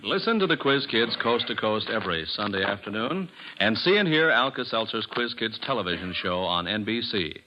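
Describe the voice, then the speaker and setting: A man's voice: lowish voice, sing-song, normal speed. An American man reading a scripted announcement in 1950s radio style. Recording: muffled, faintly noisy old radio recording.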